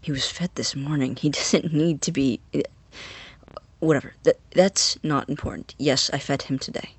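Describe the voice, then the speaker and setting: A woman playing a nervous, awkward young scientist in a naturalistic audio drama, husky and halting, easily flustered. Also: medium pitch, fairly even intonation, dry recording. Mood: awkward, evasive. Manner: halting, stammering, indignant when challenged.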